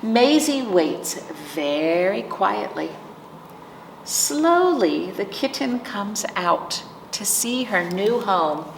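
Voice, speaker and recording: A woman's voice: medium pitch, very animated, extremely slow, gravelly. A woman hosting storytime, reading picture books aloud to young children with simple explanations and questions. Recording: roomy, distant mic, faint noise.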